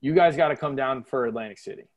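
A man's voice: medium pitch, quick pace, dry recording. A man from the United States hosting a podcast, talking casually and peppering his speech with dude and man. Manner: slangy, calls people dude and man. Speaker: podcast host. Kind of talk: casual chat.

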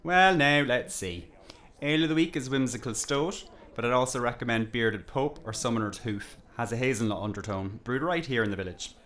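An actor playing a chatty, teasing village pub landlord in an audio drama, with a folksy, jokey and politely nosy manner. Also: male voice, mid-range voice, a little fast, a bit bright, slight background noise, lightly acted.

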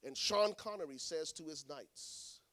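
A man preaching a sermon to a congregation, swinging between hushed lines and shouted ones. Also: fairly high pitch, animated, a little slow. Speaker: pastor. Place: church in front of a congregation.

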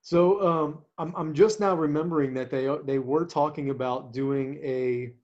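A man with a naturally quiet voice, pitched a little high, chats casually about sports. He talks haltingly, with many restarts and fillers. The recording sounds dull and lo-fi. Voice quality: naturally quiet voice that does not carry. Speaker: coach and podcast co-host.

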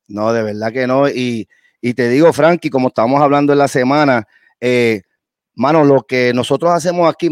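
A man's voice: on the high side, dry recording, clean studio sound. A man, hosting an interview in a chatty, enthusiastic way. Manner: chatty, enthusiastic, hype-building. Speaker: host. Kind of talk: casual interview chat.

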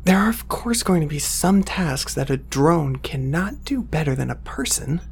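A man's voice: fairly high pitch, expressive, normal speed, vocal fry, a little mellow, a little hiss or hum. A man playing a nervous, apologetic young assistant in an audio drama, hesitant, polite and easily flustered.

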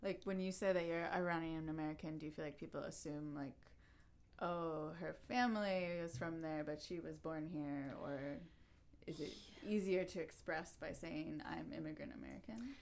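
A woman co-hosting a casual interview, asking gentle clarifying questions. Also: low voice, normal speed, gravelly. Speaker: podcast co-host.

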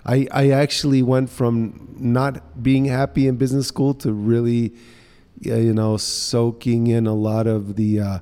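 A middle-aged man speaks in a relaxed, mellow voice at an ordinary male pitch. He tells personal anecdotes conversationally as an interview guest, and long reverb from the room hangs around his words. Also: unhurried, smooth. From Guatemala, England and mostly New Orleans, USA. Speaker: interview guest, social entrepreneur. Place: indoor co-working space.

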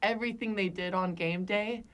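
A woman's voice: medium pitch, steady tone, normal speed. A woman speaking as a basketball player guest in a casual studio radio interview.

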